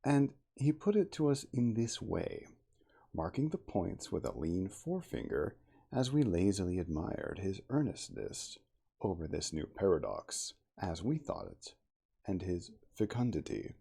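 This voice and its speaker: Gravelly, husky man reading a story aloud. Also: sits low, very animated, unhurried, warm tone. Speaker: streamer reading a classic novel aloud. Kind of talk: ASMR audiobook-style reading.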